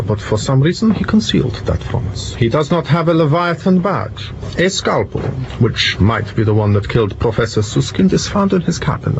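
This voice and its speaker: A man playing a polite, precise young gentleman detective in an audio drama, courteous and measured. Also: medium pitch, creaky. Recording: muffled, noisy vehicle ambience behind.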